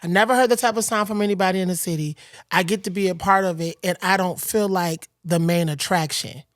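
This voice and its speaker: A woman's voice: lowish voice, a little fast, no echo, clean studio sound. A woman co-hosting a podcast, speaking thoughtfully in casual conversation. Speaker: podcast co-host.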